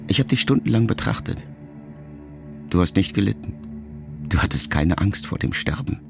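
An actor playing a melancholy, reflective writer son in a radio drama, speaking in measured, intimate, halting phrases as if to his mother. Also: sits low, lively intonation, vocal fry, music in the background. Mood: somber, reflective.

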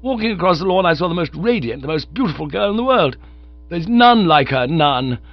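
A man reading aloud while voicing an excitable young gentleman who gushes about falling in love. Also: a little high, fairly quick, no echo, faint music behind, voice pushed up. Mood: gushing, smitten. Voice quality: gushing, breathless, eager. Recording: dull lo-fi recording.

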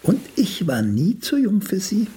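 An actor playing an aging playwright in a radio drama, flirtatious, sardonic and world-weary, with a husky, gravelly voice and a teasing, philosophizing manner. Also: male voice, high-pitched, huge pitch swings, warm tone, full character voice. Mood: teasing, seductive. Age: older. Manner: teasing, philosophizing, halting then rushing. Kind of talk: German radio drama acting.

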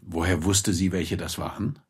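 An actor playing a courteous, businesslike police inspector with a husky voice in a German radio drama. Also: male voice, deep voice, steady tone, normal speed. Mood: probing. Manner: polite, questioning, businesslike.

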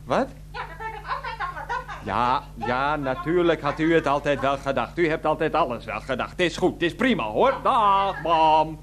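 A man's voice: on the high side, lively intonation, unhurried, fairly bright tone, slight background noise. An actor playing an exasperated adult son in a radio play, pleading and placating on the phone with his mother. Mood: placating, irritated. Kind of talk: radio play acting, one-sided phone conversation.

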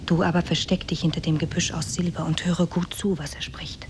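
A woman's voice: lowish voice, steady tone, fairly quick, whispered, close to an everyday voice. A woman playing a beautiful, tender and cunning captive wife in a 1950s German radio play. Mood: conspiratorial. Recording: old radio tape, faint noise.

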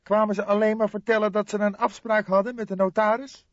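A man reading an audiobook, voicing an excitable young police detective who questions eagerly and indignantly. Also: high voice, a little fast, dry recording, highs cut off, voice pushed up, lightly acted. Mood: eager, probing.

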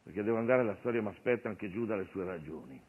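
A man's voice: fairly low pitch, expressive, rapid-fire, slightly rough, pitched below the performer's own voice. A man playing an irreverent, streetwise Jesus, commanding and jocular in a colloquial comic stage monologue. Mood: excited, bossy. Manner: commanding, colloquial, mock-preaching. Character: irreverent streetwise Jesus, bossy, jocular master miracle-worker. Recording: phone-like radio broadcast of stage show.

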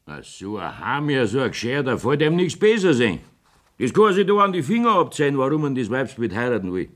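A man's voice: mid-range voice, very animated, fairly quick, a bit bright. A jovial, evasive Bavarian village mayor blusters in earthy dialect. His mid-range voice swings widely up and down, in folksy radio play style. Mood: blustering.

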